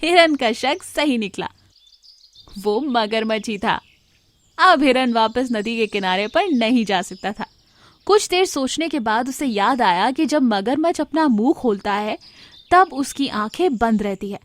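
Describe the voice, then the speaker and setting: A woman's voice: on the high side, lively intonation, a little slow. A woman telling a children's animal fable as a scripted storyteller.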